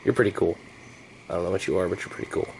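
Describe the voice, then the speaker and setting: Creaky-voiced man rambling casually, slangy. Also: sits low, rapid-fire, darkish tone, slight background noise, poor audio. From United States. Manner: playful, slangy, mildly profane. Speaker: hobbyist vlogger. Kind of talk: rambling casual chat to camera.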